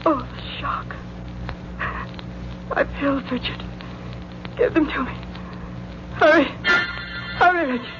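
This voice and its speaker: A woman's voice: sits high, slow, pauses often, music in the background. A woman playing a clinging, self-pitying wife in theatrical, pleading 1940s radio style. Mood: shocked, gasping. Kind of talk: 1940s radio melodrama acting. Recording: dull, noisy old radio recording.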